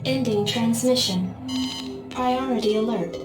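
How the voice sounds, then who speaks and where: An actress voicing a cool, efficient military computer that gives briefings in a clipped, formal, impassive manner in a video game. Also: female voice, fairly high pitch, a little slow, natural voice. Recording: echoing, distant, loud music behind.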